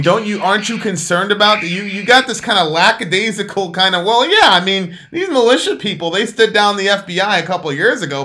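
Bright-voiced man from Jamaica, thirties, animated debater on webcam call, somewhat high voice. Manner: animated, emphatic, gesturing. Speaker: co-host. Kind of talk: heated political debate. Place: dark room at home.

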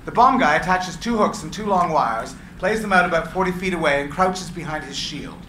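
Man briskly reading stage directions. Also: fairly high pitch, pushed and bright. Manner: brisk, matter-of-fact. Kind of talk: reading a screenplay aloud. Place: gallery room with seated audience. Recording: echoey room, clear hiss.

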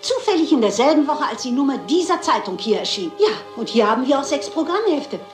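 An actress playing a shrewd, brisk elderly lady detective in a German crime radio play, polite and assured. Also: high voice, big pitch swings, echoey room, faint music behind. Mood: brisk, determined.

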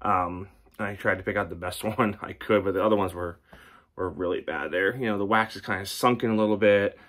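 A man's voice: fairly low pitch, fairly quick, fairly bright tone. A man in his thirties, a candle reviewer, chatting casually to camera at home, rambling with many ums and restarts. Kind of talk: casual product review vlog. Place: home room with record shelves.

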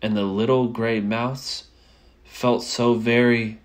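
A woman reading a rhyming children's picture book aloud as a storyteller, with expressive, measured phrasing. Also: very deep voice.

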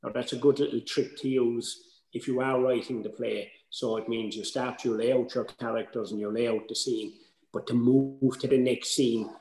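A man's voice: medium pitch, a little mellow. An older man giving conversational, explanatory advice as a workshop co-presenter over a video call. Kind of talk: informal advice to writers. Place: indoors, room at home on video call. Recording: echoey room, slightly noisy video call.

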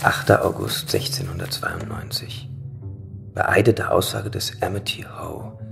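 An actor playing a grave, formal reader of old witch-trial records in an audio drama, with a gravelly voice. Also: low-pitched, big pitch swings, a little slow, whispered, over music, heavily acted. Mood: ominous.

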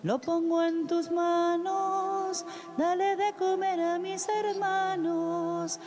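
Woman singing a slow hymn in a church. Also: very high-pitched, level tone, vocal fry, darkish tone. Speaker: church singer. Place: large church, indoors. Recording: reverberant church with music.

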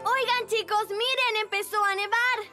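A woman's voice: extremely high pitch, fairly even intonation, moderate pace, bright, forceful tone, faint music behind. A woman voicing a bold, playful young kitten in a children's cartoon, bouncy and forthright, with a Latin American accent. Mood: cheerful.

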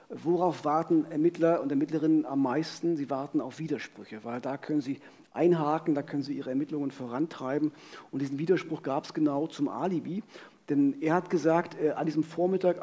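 A man's voice: on the high side, mellow, breathy edge. A middle-aged man co-hosting a live true-crime podcast on stage, telling the case and putting questions. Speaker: podcast co-host. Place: on stage in a vaulted hall with audience.

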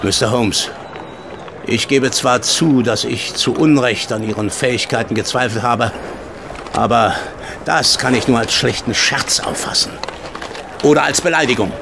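An actor playing a proud, easily offended gentleman colonel in a German radio play, talking stiffly and formally. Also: mid-range voice, unhurried, gravelly, background noise. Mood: indignant. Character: proud, indignant gentleman colonel, horse owner. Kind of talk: German audio drama acting.

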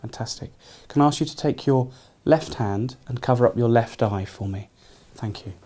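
A young British man speaks as a doctor examining a patient. His voice is mellow and mid-pitched. He gives clear, courteous instructions in a small consulting room. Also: normal speed. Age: young adult. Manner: courteous, frequently thanking. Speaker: doctor, neurology registrar. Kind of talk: polite clinical exam instructions. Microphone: camera across the room.

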